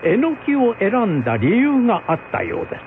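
Man playing a deferential samurai retainer, very animated. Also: sits high, brisk, voice pushed up. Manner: respectful, explanatory, formal old-fashioned speech. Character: deferential, well-informed male samurai retainer. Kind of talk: radio drama folk tale. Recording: phone-like band with faint noise.

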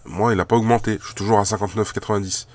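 A man's voice: lowish voice, steady tone, racing, no echo. A man with a cold talking casually while handling trading cards at a table, rambling with many fillers and repeated words. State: has a cold. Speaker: card collector and shop owner.

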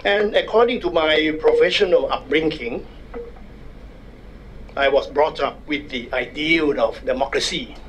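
A man's voice: on the high side, a little hiss or hum, low-quality recording. Older Thai man giving a public lecture. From Thailand. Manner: wry, measured, self-deprecating humor. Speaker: guest speaker at a public forum. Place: university conference room. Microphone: desk microphone.

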